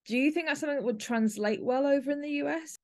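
A woman's voice: sits high, fast talker. A woman, speaking conversationally as the host of a podcast.

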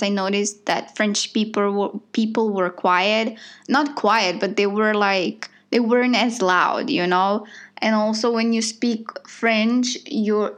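A woman from Bosnia co-hosting a casual conversation, chatty and full of "like" and "you know". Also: mid-range voice. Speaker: podcast co-host.

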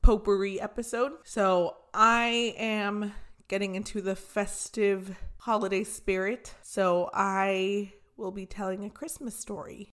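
A woman co-hosting a podcast, chatting casually and telling a story in a hoarse voice, laughing often. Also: mid-range voice, fairly even intonation, slow pace, a bit bright. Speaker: podcast co-host.

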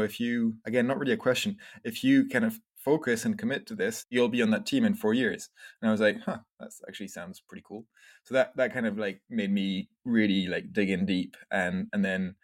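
A man in his thirties, born in Scotland and raised in France, speaking in a somewhat low voice. He answers interview questions casually and reflectively, leaning on fillers like "you know" and "like" as he thinks aloud. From Scotland, grew up in France. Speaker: podcast guest, entrepreneur.

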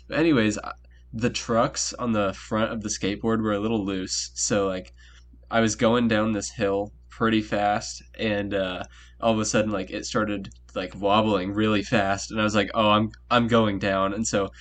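A young man co-hosting a podcast, telling a story in a relaxed, easygoing way. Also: fairly low pitch, normal speed. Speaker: podcast co-host. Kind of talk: casual storytelling.

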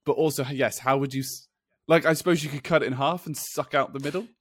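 A man's voice: medium pitch, fairly even intonation, fast, dry recording, clean studio sound. A man chatting and bantering as a podcast co-host, jokey and playfully dramatic in casual conversation.

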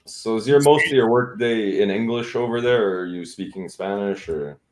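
A man co-hosting a podcast while sick, laid-back and a little halting. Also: lowish voice. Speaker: podcast co-host. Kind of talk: casual podcast talk. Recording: roomy remote line with faint noise.

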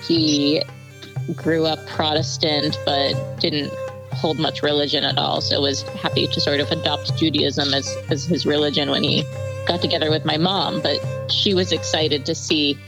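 A woman recounting her family's story in a reflective, unhurried way, with some fillers. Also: sits low, level tone, low-quality recording. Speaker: interviewee. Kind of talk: personal storytelling. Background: music underneath.

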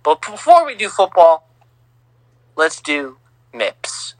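A young man co-hosting a casual sports podcast, teasing and lively, on a home mic. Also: on the high side, slow, dry recording. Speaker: podcast co-host.

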